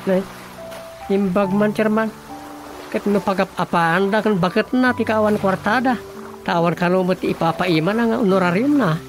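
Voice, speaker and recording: A woman with an Ilocano accent playing a scheming, wisecracking village councillor with a creaky voice in a radio comedy. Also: mid-range voice, normal speed, soft background music, lightly acted. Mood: obliging. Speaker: radio drama voice actor.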